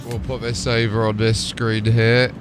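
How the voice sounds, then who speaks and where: A man's voice: fairly low pitch, level tone, measured pace. A man livestreaming casually, chatting and talking himself through tasks aloud. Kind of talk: casual stream chat and commentary. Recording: music playing behind the voice. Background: music.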